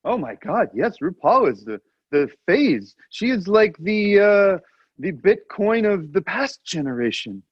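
A man's voice: high voice, big pitch swings, a little slow, breathy edge, no echo, dull audio, full character voice. A man voicing Randolph, a pompous, indignant young royal, with a put-on Polish accent and a fussy, grandiose manner.

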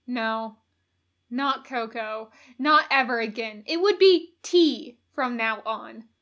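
A woman narrates a piece of fiction in a bright, forward voice. Her pitch sits a little high, and she reads in an expressive, prepared storytelling style. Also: slow pace. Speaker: audiobook reader.

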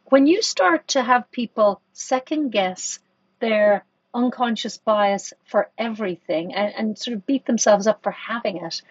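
Woman, executive guest, husky mid voice, dull dry podcast sound, thoughtful and hesitant. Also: on the high side, unhurried, no echo. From Ireland. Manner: thoughtful, many restarts and fillers. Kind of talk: conversational podcast interview.

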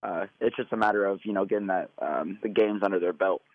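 A man with a fairly low voice calls in to a sports podcast over a phone line. His voice is hoarse from yelling, and he talks in a loose, casual way full of fillers. The sound is dull and dry. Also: quick pace, no echo. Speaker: podcast co-host.

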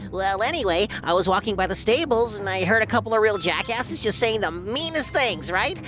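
Casting: woman playing small excitable cartoon tomcat, naive and stammering, very animated delivery. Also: mid-range voice, brisk, natural voice. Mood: rambling, sheepish. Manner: rambling, stammering. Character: small, naive, excitable male talking kitty cat familiar.

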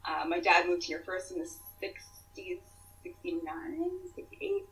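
Bright, giggly woman on a distant mic. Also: lowish voice, big pitch swings, measured pace. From Canada. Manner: giggly, chatty, filler-heavy. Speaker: podcast guest. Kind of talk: casual remote podcast chat.

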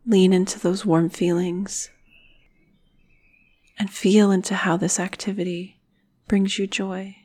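A woman guiding a meditation in a soothing, breathy manner, with phrases often trailing off into a whisper. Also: mid-range voice, flat delivery, slow pace, pauses often, a little mellow. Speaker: meditation guide. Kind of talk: guided meditation narration.

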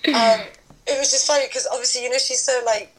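A woman with a husky voice, a guest in a casual chat, swearing and laughing as she tells a story. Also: high-pitched, fairly even intonation, quick pace, crowd noise, rough recording.

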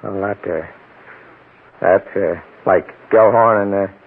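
Low-voiced, nervous guilty husband. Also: male voice, steady tone, slow pace, breathy edge, exaggerated voice. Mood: uneasy, evasive. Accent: American. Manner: hesitant, stammering. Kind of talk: 1940s radio crime drama acting. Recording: dull old radio recording.

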